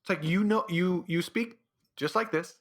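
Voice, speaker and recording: A man's voice: sits high, normal speed, a bit bright. A man hosting a solo podcast, delivering a sarcastic, rambling comedic rant. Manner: sarcastic, rambling, drops into mocking voices. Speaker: podcast host.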